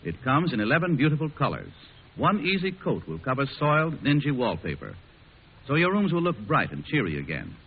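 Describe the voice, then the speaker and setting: A man's voice: medium pitch, big pitch swings, a little fast, slight background noise. A man working as a radio announcer, reading a scripted 1940s introduction and advertisement. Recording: tinny, band-limited 1940s radio recording.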